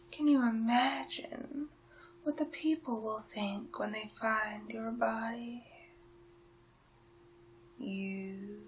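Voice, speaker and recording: A woman playing a sly, menacing town witch in a soft-spoken, whispered ASMR roleplay, murmuring deliberately. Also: a little hiss or hum. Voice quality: soft, breathy whispering mixed with low-volume speech.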